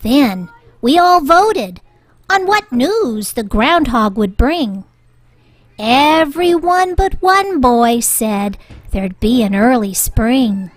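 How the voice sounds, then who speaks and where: A woman reading a children's story aloud in an expressive, rhyming sing-song way, with deliberate delivery. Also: high-pitched, no echo. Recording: music bed under the voice.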